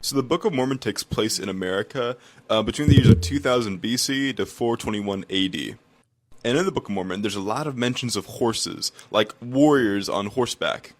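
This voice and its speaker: A man's voice: medium pitch, normal speed, a little hiss or hum. A man narrating a short history explainer clip in a matter-of-fact way.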